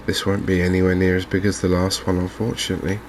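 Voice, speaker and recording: A man giving calm, understated, softly spoken ASMR commentary on a video game, in an unhurried way. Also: deep voice, a little hiss or hum. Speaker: gaming video host.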